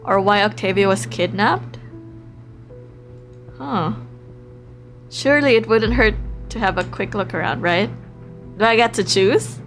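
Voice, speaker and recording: A woman livestreaming a visual novel, reading aloud with expressive, giggly reactions and the odd stumble over words. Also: medium pitch, a little slow, long pauses. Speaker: live streamer playing and reading a visual novel. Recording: music playing behind the voice.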